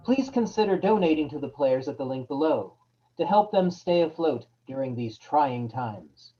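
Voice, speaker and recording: Muffled, mellow-voiced show announcer. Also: mid-range voice, animated, normal speed. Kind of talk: scripted show introduction.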